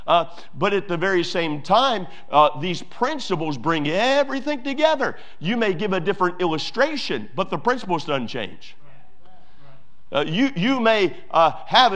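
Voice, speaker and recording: A man's voice: sits high, fairly bright tone. A pastor preaching a sermon to his church, emphatic, with repeated phrases and many fillers.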